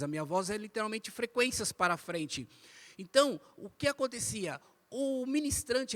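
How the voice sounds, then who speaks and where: High-pitched man teaching Bible class. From Brazil. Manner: didactic, repeats phrases, asks rhetorical questions. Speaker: Bible school teacher at a church. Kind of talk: church Bible lesson, part preaching. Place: inside a church, speaking to a congregation.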